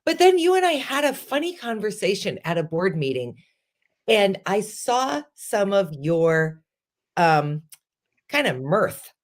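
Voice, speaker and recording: A woman in her forties hosting a casual interview, animated and laughing often. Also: mid-range voice, measured pace. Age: 40s. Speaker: host.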